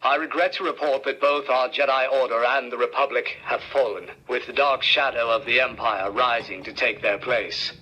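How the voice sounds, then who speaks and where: An actor playing a grave Jedi master, giving a formal, solemn message that comes through a small toy speaker. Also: male voice, mid-range voice, fairly even intonation, normal speed, few pauses, slight background noise, rough recording. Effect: played through a small toy speaker. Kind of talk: scripted dramatic recorded message. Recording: sharp toy-speaker playback, picked up in room.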